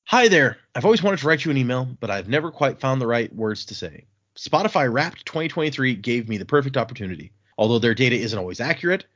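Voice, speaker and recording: A man's voice: medium pitch, muffled recording. Laid-back man reading listener mail. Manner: relaxed, halting, with restarts and fillers. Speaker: podcast host. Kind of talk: casual podcast chat, reading listener emails aloud.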